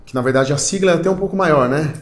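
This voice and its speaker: A man in his thirties hosts a laid-back interview and fires off friendly questions in casual slang. He talks fast, and his voice sits a little high for a man. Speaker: interview host, massage therapist.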